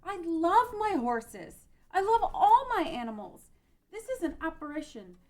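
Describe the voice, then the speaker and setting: A woman's voice: very high voice, big pitch swings, unhurried, a little mellow, full character voice. A woman playing an earnest, tender-hearted young ranch girl, emotive, in an amateur fantasy drama read. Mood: distressed, defiant. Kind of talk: amateur table read of a game-based drama.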